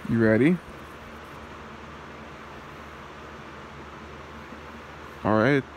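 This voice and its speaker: A man voicing a possessive, taunting demon husband in an ASMR roleplay, with a mocking manner and a gravelly voice. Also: mid-range voice, fairly quick, slight background noise, natural voice, rough recording. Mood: coaxing, cocky.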